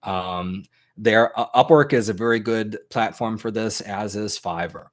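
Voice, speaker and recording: A man's voice: on the low side, a little slow. An American man, a native English speaker, hosting an informal advice talk to a live chat, with plenty of ums and restarts. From United States. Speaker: host.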